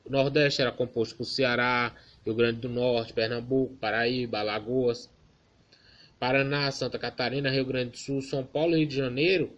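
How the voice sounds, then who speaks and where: A man's voice: medium pitch, fairly even intonation, normal speed, a bit bright, muffled recording. A man from Maranhão, giving an informal online geography class and correcting himself as he goes. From Maranhão, Brazil. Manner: informal, addresses class as "galera", self-correcting. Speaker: student teacher.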